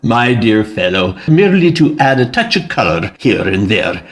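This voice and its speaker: An actor playing a courteous, gently pompous Victorian gentleman storyteller in an audio play. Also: on the low side, big pitch swings, moderate pace. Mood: urbane. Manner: urbane, formal, gently pompous. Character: courteous, self-assured Victorian doctor and storyteller. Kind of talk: audio drama dialogue.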